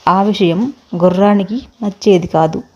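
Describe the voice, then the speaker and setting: A woman's voice: mid-range voice, normal speed, dark tone. A woman narrating a scripted children's moral story as the storyteller.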